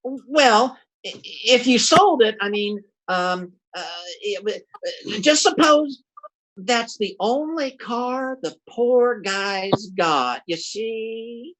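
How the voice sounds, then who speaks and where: A man acts out a bumbling everyman schemer from an old-time radio comedy. He talks slowly and folksily, stammering and blustering, with pitch that swings about freely. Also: expressive, fairly bright tone, exaggerated voice, low-quality recording. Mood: flustered scheming. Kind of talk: classic radio comedy acting.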